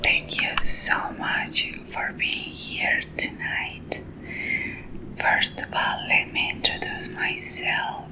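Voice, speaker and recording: Slow whispering Colombian woman. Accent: Colombian Spanish. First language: Spanish. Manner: soft, intimate whispering, careful non-native English. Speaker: ASMR creator. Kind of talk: ASMR whispered story reading.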